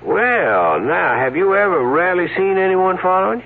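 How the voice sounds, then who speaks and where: An actor playing a folksy, slow-witted small-town deputy sheriff with a drawl, stammering and repeating words, in a 1970s radio drama. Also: male voice, high voice, very animated, full character voice. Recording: lo-fi phone-band radio recording with hiss.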